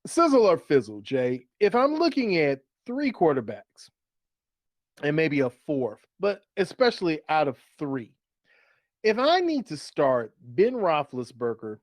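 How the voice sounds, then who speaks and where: A man's voice: fairly high pitch, no echo, clean studio sound. A man hosting a sports talk show with upbeat fantasy football banter, playful and fond of repeated phrases and rhetorical questions.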